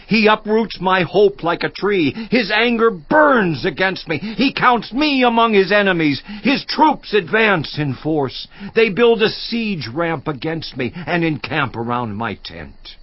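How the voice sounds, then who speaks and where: A suffering, plaintive man of the Bible, crying out against his accusers. His delivery is slow and theatrical, with big swings of pitch. The sound is dry and slightly dull. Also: dry recording. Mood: anguished. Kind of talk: dramatized audio Bible acting.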